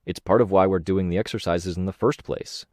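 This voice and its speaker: A man's voice: sits low, steady tone, brisk, a little mellow, no echo, studio quality, close to an everyday voice. A man voicing an easygoing, reasonable young man in an audiobook. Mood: reasoning.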